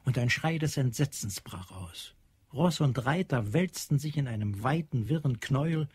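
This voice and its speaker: Male narrator, husky, close dry sound, dramatic scripted storytelling. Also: medium pitch, expressive, normal speed, a little mellow, no room sound.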